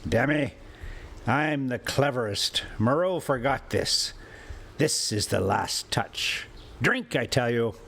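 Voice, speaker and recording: A man reading a story aloud, voicing a drunken, reckless, bitter man who taunts and calls out loudly. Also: mid-range voice, expressive, slow pace, creaky, exaggerated voice. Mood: rowdy, shouting. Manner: loud, taunting exclamations. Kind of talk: storybook character voice in a read-aloud.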